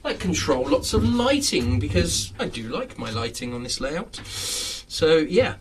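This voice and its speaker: A man's voice: fairly high pitch, sing-song, measured pace, recorded from a distance, a little hiss or hum. Rambling man, set back from the mic. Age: 30s. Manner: rambling, filler-heavy, chuckles often. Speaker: host of a live chat. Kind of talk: casual live-stream chat. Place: home model railway room.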